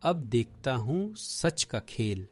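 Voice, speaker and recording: A man's voice: medium pitch, sing-song, slow pace, dry recording, soft background music. A man playing a jealous, greedy young schemer, calculating and gloating, in a cartoon moral story.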